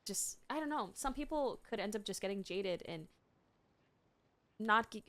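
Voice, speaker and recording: A woman's voice: mid-range voice, lively intonation, moderate pace, a bit bright, no echo. A woman streamer chatting casually and expressively while reacting to a game story.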